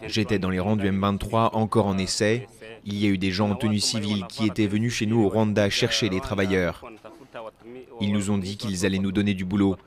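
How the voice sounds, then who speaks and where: A man with a low, flat voice gives a slow, halting testimony. Voices of a crowd are clearly heard behind him. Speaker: interviewed detainee.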